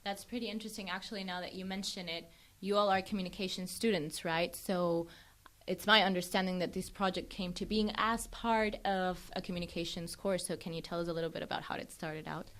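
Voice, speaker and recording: A woman's voice: lowish voice, steady tone, brisk, a bit bright. A woman hosting a student radio interview, introducing guests and putting questions to them. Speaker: radio podcast host.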